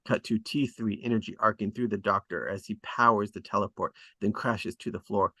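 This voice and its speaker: A man's voice: fairly low pitch, fairly even intonation, brisk, bright, forceful tone, dry recording. A man reading out scene directions as scripted narration at a drama table read. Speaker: reader of stage directions.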